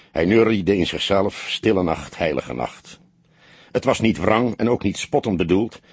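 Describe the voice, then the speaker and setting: A man the reading a crime story as an audiobook, in a measured, expressive storytelling manner. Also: lowish voice, slightly rough, dry recording. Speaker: audiobook narrator. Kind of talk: scripted literary narration of a crime story.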